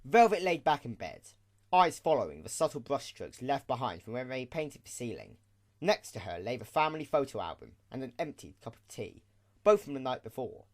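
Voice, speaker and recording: A man's voice: medium pitch, very animated, brisk. A man reading scripted story narration for an audio drama.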